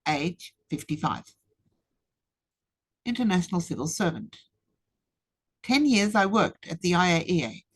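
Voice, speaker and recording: Measured older woman speaker. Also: lowish voice, husky. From Australia. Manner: measured, deliberate delivery with emphatic pauses. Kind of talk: rehearsed presentation. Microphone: webinar computer mic.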